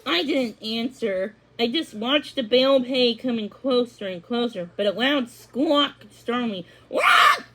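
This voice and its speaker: A woman's voice: on the high side, a little slow, hardly any pauses, rough recording. A woman narrating a scripted children's story as a read-along.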